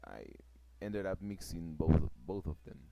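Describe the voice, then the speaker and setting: A man from Peru with a Peruvian Spanish accent, a native Spanish speaker explaining his trading plan in a casual vlog. He talks haltingly, searching for words, with many fillers and restarts. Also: low-pitched, sing-song, creaky. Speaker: forex trader vlogger. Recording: loud background noise.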